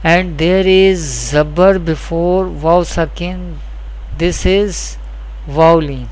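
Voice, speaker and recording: Very slow, deliberate tutorial voice. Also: mid-range voice, darkish tone. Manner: deliberate, repetitive, question-and-answer explaining. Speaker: Quran tajweed teacher. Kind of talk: scripted lesson with spelled-out examples.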